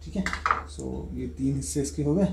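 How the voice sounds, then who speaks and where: A man demonstrating a home recipe step by step, relaxed and explaining each move plainly. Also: medium pitch, moderate pace. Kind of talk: casual step-by-step cooking demo. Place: home kitchen, indoors. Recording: echoey kitchen with faint noise.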